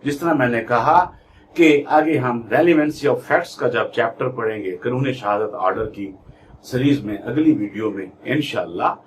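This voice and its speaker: Slow-paced Pakistani man teaching law. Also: medium pitch, slight background noise. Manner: didactic, reads definitions out word by word then explains. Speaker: law lecturer. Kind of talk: explanatory law lecture mixing Urdu and English.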